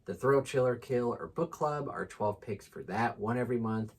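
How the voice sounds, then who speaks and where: A man's voice: mid-range voice, expressive, normal speed, husky. A man co-hosting a casual book chat with informal, slangy, enthusiastic talk. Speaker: book channel co-host.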